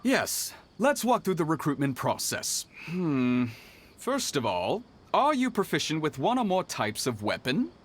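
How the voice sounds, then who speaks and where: A man's voice: a little high, wildly swooping pitch, a little slow, a little hiss or hum. An actor voicing a helpful, talkative male clerk who explains procedures. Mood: businesslike. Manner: businesslike, explaining at length.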